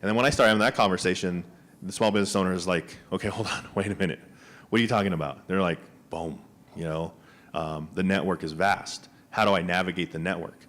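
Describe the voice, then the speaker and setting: A man's voice: sits low, fast talker, a bit bright. A man, a small business agency official, giving conversational panel remarks with frequent fillers and restarts. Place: conference room panel.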